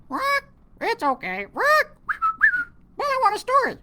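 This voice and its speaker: Brief: chatty storybook parrot, a woman puts it on, squawking, repeating phrases, slow between squawks, noisy recording. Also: very high-pitched, huge pitch swings, heavily acted. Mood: squawky. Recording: loud background noise.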